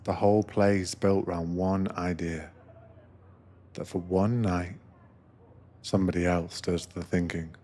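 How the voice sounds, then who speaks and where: A man with a deep voice speaks very slowly in a scripted ASMR meditation. He plays an attentive host aboard a sleeper train, soothing and gentle, never hurrying the listener. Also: fairly even intonation. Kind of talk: ASMR guided meditation roleplay, scripted narration.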